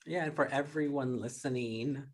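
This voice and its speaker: A man's voice: mid-range voice, normal speed. A man hosting a casual interview, speaking in an informal, agreeable and encouraging way. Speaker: interviewer, workshop facilitator.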